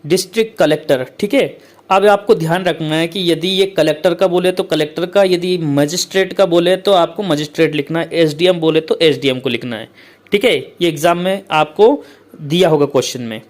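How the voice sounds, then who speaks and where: A male teacher who explains a letter format step by step, repeats points often and reads English lines out slowly. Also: a little high. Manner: repetitive, keeps saying "okay, friends".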